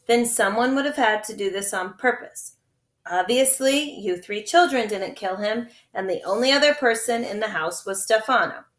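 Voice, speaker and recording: Storybook doctor, male, smug and coolly evasive, calm delivery woman reader acting it, roomy sound. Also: medium pitch, normal speed, close to an everyday voice. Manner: calm, breezily dismissive.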